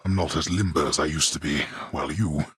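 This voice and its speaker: A man playing a stern, older, sardonic god of the underworld in a video game, gruff and husky, speaking in formal, archaic, contemptuous phrases. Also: rumbling low, steady tone, a little fast, no echo, heavily acted. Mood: weary, grudging. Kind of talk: video game character dialogue.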